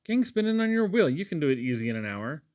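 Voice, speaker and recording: A man reads as a warm-hearted housewife from a storybook. She is practical and fussy, scolding in an emphatic, homely way, with her pitch lifted a little and very little room sound. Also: big pitch swings, fast, no room sound. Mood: brisk, insistent.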